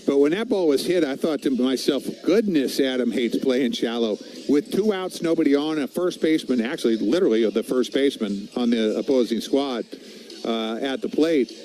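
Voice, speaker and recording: An American man giving conversational baseball color commentary on the radio, telling things in a halting, anecdotal way. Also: mid-range voice, dark tone, slight background noise, poor audio.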